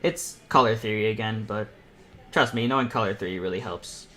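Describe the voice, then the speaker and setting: A man's voice: lowish voice, fairly quick, a bit bright. Young man casually thinking aloud. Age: teens to young adult. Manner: rambling, thinking aloud while working. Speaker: livestream host and self-taught painter. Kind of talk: casual painting tutorial livestream.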